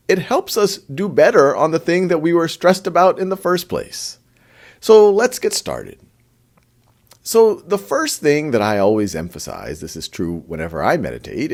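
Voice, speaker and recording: A man leading a guided meditation in a gentle, unhurried, conversational way. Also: medium pitch.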